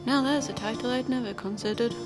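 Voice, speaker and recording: Woman playing brisk polite time traveller, formal n wry, in charge, audio drama with music under it. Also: fairly high pitch, close to an everyday voice.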